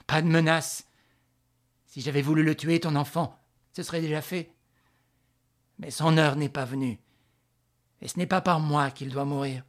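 A man voicing a vengeful, menacing woman with a withered face, hoarse and halting, in an audiobook story. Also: medium pitch, fairly even intonation, close to an everyday voice. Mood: scornful menace. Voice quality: hoarse, rasping. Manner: halting, jerky, scanning her words. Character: hate-filled withered blonde villainess, vengeful and menacing.

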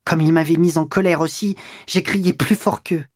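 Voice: mid-range voice, fairly even intonation, normal speed, darkish tone, no echo, studio quality, voice pushed up, natural voice. A reader voicing a young woman who tells of running away, breathless and emotional. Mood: agitated. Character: young woman eloping, excited and upset. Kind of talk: audiobook character reading.

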